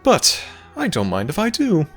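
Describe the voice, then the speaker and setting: A man's voice: sits high, huge pitch swings, moderate pace, faint music behind. A man voicing a smug, courtly male god in an ASMR audio roleplay, speaking in a formal, sly, theatrical way.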